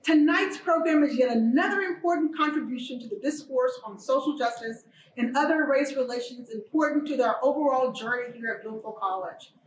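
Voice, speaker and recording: A woman's voice: high-pitched, recorded from a distance, slight background noise. Woman giving a formal speech, echoey. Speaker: college official giving opening remarks.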